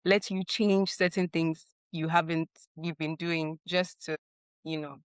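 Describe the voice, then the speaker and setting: A woman's voice: low-pitched, fairly even intonation, a little slow, smooth, dry recording, clean studio sound. A woman from Nigeria, a webinar panelist earnestly sharing exam tips in casual discussion.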